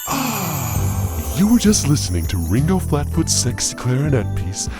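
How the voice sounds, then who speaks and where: A man playing a smooth, sultry late-night jazz radio host in an audio drama parody. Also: mid-range voice, huge pitch swings, a little slow, heavily acted. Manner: sultry, crooning. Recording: music behind voice.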